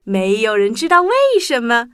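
A woman narrating a story for children in an expressive, animated storyteller's style. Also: on the high side, normal speed. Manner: expressive, addresses young listeners. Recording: music bed behind the voice.

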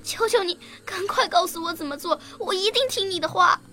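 Young bookish girl for radio drama: very high, bright, curious. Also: brisk. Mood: distraught, pleading. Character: young schoolgirl Lingling, curious, bookish, later grieving. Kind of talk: Chinese radio drama dialogue and narration.

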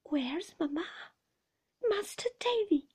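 A woman's voice: very high voice, lively intonation, slow, a little mellow, pitched above the performer's own voice, exaggerated voice. A woman voicing a kindly, flustered nursemaid in a storybook, breathless, hesitant and soothing. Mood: falsely bright. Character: kindly, flustered, fond nursemaid breaking awkward news.

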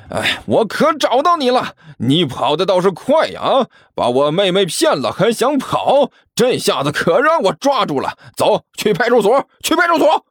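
A man voicing a brazen, stubborn, quick-witted hustler in an audiobook, arguing with sarcasm and sneers. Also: mid-range voice, very animated, no echo, clean studio sound. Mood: loud, accusing.

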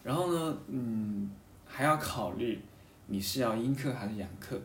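A man chatting casually and reflectively as a guest, thinking aloud in a halting way and often repeating his phrases. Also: medium pitch, expressive, a bit bright, distant mic. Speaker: podcast guest talking about a hobby of seal carving. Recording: roomy echo, off-mic, faint background noise.

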